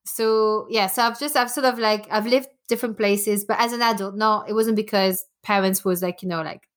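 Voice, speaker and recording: A woman's voice: medium pitch, fairly even intonation, normal speed. A woman from Belgium whose first language is French, talking casually with many fillers and repeated "yeah"s. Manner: chatty, lots of fillers and repeated yeahs. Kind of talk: casual chat.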